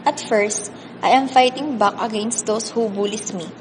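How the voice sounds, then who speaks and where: A woman's voice: a little high, moderate pace, natural voice. A woman with a Filipino accent playing an orphaned, bullied teenage girl in an amateur radio drama, earnest and melodramatic. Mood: wounded, mournful. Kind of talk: amateur radio drama, first-person emotional narration. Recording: reverberant hall, audible background hiss.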